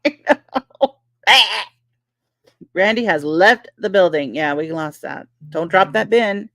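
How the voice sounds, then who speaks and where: A woman's voice: mid-range voice, animated, slow pace, a bit bright, no echo, clean studio sound. Sarcastic, squeamish woman streamer. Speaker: livestream co-host. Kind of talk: casual livestream banter.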